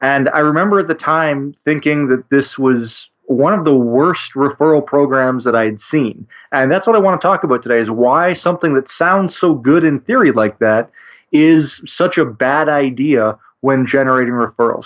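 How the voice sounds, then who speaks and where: Male co-host, fairly high voice, measured explainer, dull lo-fi sound. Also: animated. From Canada. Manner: measured, explanatory, sets up questions. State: slightly under the weather. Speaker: podcast co-host. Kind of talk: casual business podcast chat. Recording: dull lo-fi audio.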